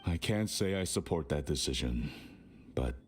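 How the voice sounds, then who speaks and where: Game role, stern dad: very deep, curt, expressive, faint ambient noise. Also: male voice, normal speed. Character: stern, reluctant middle-aged father. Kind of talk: video game character dialogue.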